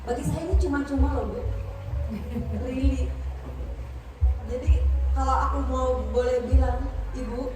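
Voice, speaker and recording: A woman from West Java introducing herself with lively enthusiasm and frequent laughter. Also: high-pitched, unhurried, pauses often, over music. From Ciamis, West Java. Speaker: participant. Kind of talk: casual self-introduction. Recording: echoey, from far off.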